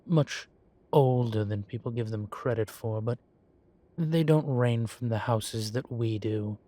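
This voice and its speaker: A man voicing an urbane demon professor in an audio roleplay, erudite and wryly amused, speaking in a measured, formal way with deliberate pauses. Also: medium pitch, mellow, natural voice. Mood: thoughtful, confiding. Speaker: professor lecturing a class. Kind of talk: scripted audio roleplay, lecture and conversation.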